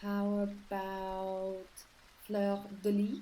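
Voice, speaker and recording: Slow, mellow easygoing woman, played by an actress. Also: mid-range voice, monotone, low-quality recording. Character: easygoing woman casually offering suggestions at bedtime. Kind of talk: radio drama dialogue.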